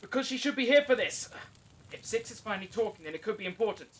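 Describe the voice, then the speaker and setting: A man playing a brisk, urgent, take-charge captive in an audio drama. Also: high-pitched, animated, rough recording.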